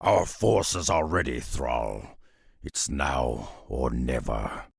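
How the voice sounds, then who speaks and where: A man voicing a grim, loyal half-ogre beastmaster in a fantasy video game, with a gravelly growl and solemn, terse military speech. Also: extremely low pitch, expressive, takes it slowly, no echo, studio quality, full character voice.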